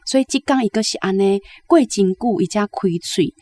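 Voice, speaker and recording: Female literary commentator: Taiwanese accent, medium pitch, conversational book discussion. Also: a little fast, darkish tone.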